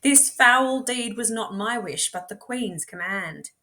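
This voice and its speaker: Storybook huntsman, played by a female reader, contrite and solemn, with a hushed, begging delivery. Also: fairly high pitch, animated, slow pace, exaggerated voice. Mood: anguished pleading. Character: remorseful huntsman, a man begging forgiveness.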